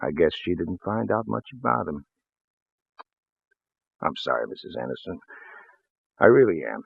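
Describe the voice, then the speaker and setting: An actor playing a folksy, shrewd small-town police lieutenant in a 1940s radio drama, hesitant and apologetic in manner. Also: male voice, deep voice, big pitch swings, normal speed, vocal fry, slightly rough, no echo, full character voice. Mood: shrewd, regretful. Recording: muffled vintage radio recording, phone-like band.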